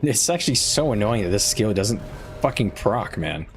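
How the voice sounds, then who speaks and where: A man's voice: fairly low pitch, sing-song, moderate pace, gravelly, soft background music. A male streamer giving live gameplay commentary, frustrated and swearing as he thinks aloud. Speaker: game streamer.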